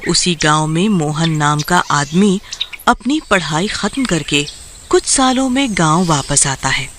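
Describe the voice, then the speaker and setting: A man's voice: fairly high pitch, animated, measured pace. A man narrating a scripted children's horror story as the storyteller. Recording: music clearly behind the voice.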